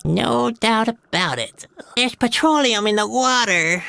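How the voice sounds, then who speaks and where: A man's voice: very high-pitched, expressive, unhurried, gravelly, sharp tone, clean tone, no room sound, heavily acted. A man voicing a timid, gloomy young boy clown in an adventure game, musing in a hesitant, mournful way. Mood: puzzled. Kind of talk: scripted adventure game dialogue. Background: music.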